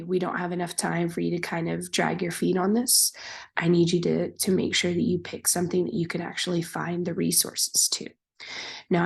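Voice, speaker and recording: A woman teacher with a hoarse, raspy voice from being sick, patiently walking her students step by step through a class project in a recorded screen lesson. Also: fairly low pitch. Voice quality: raspy, breathy, half-whispered.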